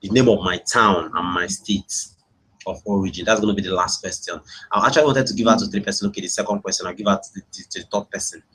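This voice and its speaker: Low-voiced Nigerian man, slightly husky. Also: fast talker, a bit bright, low-quality recording. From Imo State, Nigeria. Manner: repeats phrases over and over. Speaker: webinar host, internet marketing coach. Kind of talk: casual live chat with online audience, reading out answers.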